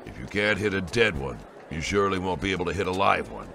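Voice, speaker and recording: A man's voice: deep voice, animated, quick pace, gravelly, sharp tone, a little hiss or hum, exaggerated voice. An actor playing a middle-aged soldier and father in an audiobook drama, blunt and commanding but loving. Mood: gruff coaxing. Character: gruff older soldier father, stern but loving husband.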